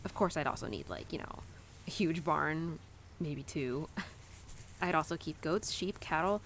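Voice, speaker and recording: A woman's voice: sits low, a little slow, fairly bright tone, slight background noise. A woman playing a young, animal-loving cowgirl who confides tenderly in her horse in an intimate, casual, rambling audio roleplay. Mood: dreamy, excited. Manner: casual, rambling, sighing and giggly.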